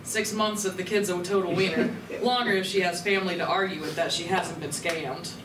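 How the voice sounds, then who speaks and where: A woman's voice: on the low side, fairly even intonation, a little fast, close to an everyday voice. A breezy, cynical alien hustler , played by a woman in a live comedy radio play. She talks business matter-of-factly and teases casually. The sound is echoey and set back from the mic, with faint noise. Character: breezy, cynical female tentacled alien con artist. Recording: roomy, distant convention recording, faint noise.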